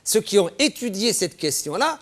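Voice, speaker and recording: A man's voice: high-pitched, big pitch swings, normal speed. A man speaking as a guest in a political debate, emphatic and repeating phrases insistently. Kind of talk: impassioned political discussion.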